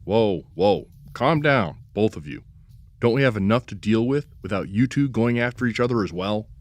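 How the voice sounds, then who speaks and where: An actor playing a level-headed, exasperated peacemaker in an audio drama, informal in manner. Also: male voice, on the low side, animated, normal speed, dry recording, lightly acted. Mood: exasperated peacemaking.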